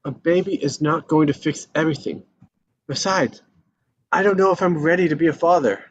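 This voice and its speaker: A man playing a discontented, self-pitying married man in his thirties in a live screenplay read, speaking casually and haltingly. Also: on the high side, highs cut off, slight background noise, lightly acted. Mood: defensive, confused.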